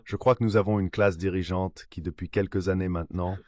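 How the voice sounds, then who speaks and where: A man reading a translated political interview answer as a formal, measured voice-over. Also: low voice, fairly even intonation, darkish tone.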